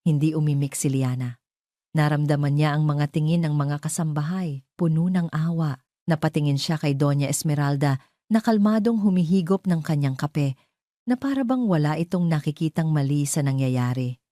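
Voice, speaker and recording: A woman with a Filipino accent narrating a scripted romantic audio drama in a measured, dramatic storytelling delivery. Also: sits low, mellow, dry recording, studio quality.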